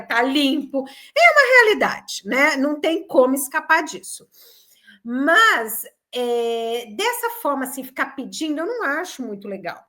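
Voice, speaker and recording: A woman co-hosting a casual chat, talking emphatically and giving firm opinions. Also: high-pitched. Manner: emphatic, opinionated, animated storytelling. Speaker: co-host.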